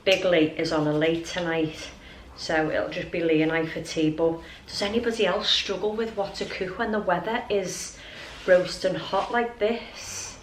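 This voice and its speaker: A woman's voice: fairly low pitch, fairly even intonation, moderate pace, slight background noise, poor audio. A middle-aged woman chatting informally and ramblingly to camera as a lifestyle vlogger. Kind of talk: casual chatty vlog to camera. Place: at home.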